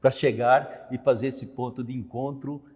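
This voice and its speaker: A man's voice: medium pitch, fairly even intonation, moderate pace, a little mellow. An older man from Brazil, a priest, talking in a reflective conversational way, often ending phrases with a questioning aside. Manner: reflective, tells memories, frequent "né?". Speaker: priest and show host. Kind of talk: conversational religious talk. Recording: long hall reverb.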